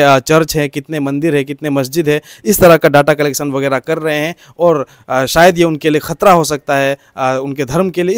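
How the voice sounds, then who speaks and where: A man giving a halting, explanatory news report into a handheld microphone. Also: on the high side, no room sound, clean studio sound. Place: outdoor balcony by a green field.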